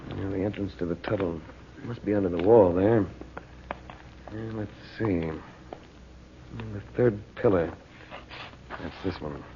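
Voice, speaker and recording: An actor playing a calm, determined, commanding adventurer uncle in a vintage radio drama. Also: male voice, low-pitched, unhurried, long pauses, slight background noise. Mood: intent, searching. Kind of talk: 1940s radio drama acting. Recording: muffled old radio recording.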